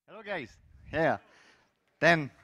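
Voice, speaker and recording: A man's voice: fairly high pitch, takes it slowly. French-accented man giving a conference talk. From France. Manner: loose, lively delivery with frequent fillers and restarts. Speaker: conference speaker. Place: large conference hall stage.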